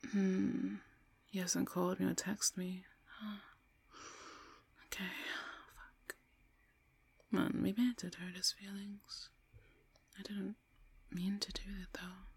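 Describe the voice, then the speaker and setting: A woman acting a foul-mouthed young woman in an audio drama, speaking in a halting, informal way with breathy murmurs. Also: mid-range voice, fairly bright tone. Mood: worried, muttering. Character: foul-mouthed young woman, casual with friends, pleading when threatened. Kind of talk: solo audio roleplay drama, one side of dialogue.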